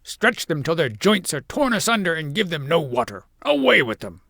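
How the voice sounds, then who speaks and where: A storybook reader voicing a pompous, tyrannical king who threatens loudly. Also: mid-range voice, sing-song, moderate pace, vocal fry, dry recording, studio quality, pitched above the performer's own voice. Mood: raging.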